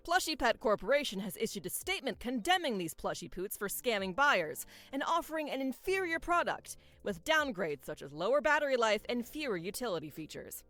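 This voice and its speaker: Lively, polished TV news anchor. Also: female voice, on the high side, dry recording, faint music behind. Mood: composed, newsy. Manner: formal, measured newsreader delivery. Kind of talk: scripted news bulletin in a visual novel game.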